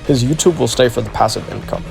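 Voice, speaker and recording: A man reads a scripted exposé as a video narrator. He moves quickly through his sentences with a creaky, fried edge to his voice, and soft music plays underneath. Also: mid-range voice.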